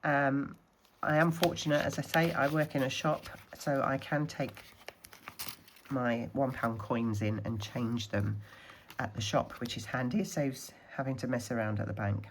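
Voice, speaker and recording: A woman from the Scottish Highlands, a little snuffly from a cold, chatting casually through her budgeting challenges and counting money aloud. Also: steady tone, unhurried, slight background noise, poor audio. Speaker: budgeting vlogger.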